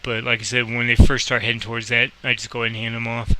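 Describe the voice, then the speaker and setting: A man's voice: mid-range voice, monotone, fast talker, bright, forceful tone, poor audio. A man giving a casual, rambling game tutorial, thinking aloud as he explains and making air traffic controller calls.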